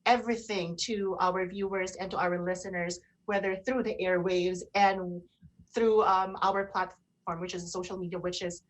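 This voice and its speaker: A woman's voice: on the low side, flat delivery, moderate pace, poor audio. A woman, enthusiastic and cheery, bantering casually as co-host of a live online radio show.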